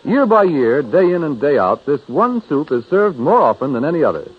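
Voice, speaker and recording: A man's voice: a little high, very animated, normal speed, faint music behind. A man working as a radio announcer, delivering polished, emphatic advertising copy in 1930s style. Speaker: radio announcer and sponsor's pitchman. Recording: muffled 1930s radio broadcast.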